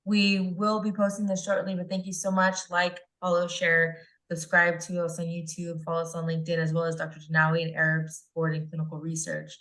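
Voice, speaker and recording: A woman's voice: on the low side, completely flat delivery, brisk, hardly any pauses, fairly bright tone. A woman hosting a webinar interview over a video call, talking in an upbeat, chatty way with lots of fillers. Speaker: podcast and webinar host.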